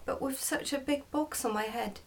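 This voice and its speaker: A woman's voice: high voice, normal speed, distant mic, pitched above the performer's own voice. A woman voicing a young goblin son from a storybook, whiny and pleading with his mother.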